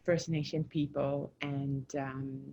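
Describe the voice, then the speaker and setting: A woman from Zambia, a guest telling stories in a relaxed casual conversation over a video call. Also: a bass voice, slow pace, dull audio. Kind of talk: casual live-stream conversation.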